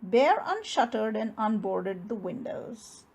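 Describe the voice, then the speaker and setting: A woman's voice: medium pitch, big pitch swings, slow pace, darkish tone, slightly rough. A woman narrating a children's story aloud, expressively, with dramatic emphasis on key words. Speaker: storybook reader.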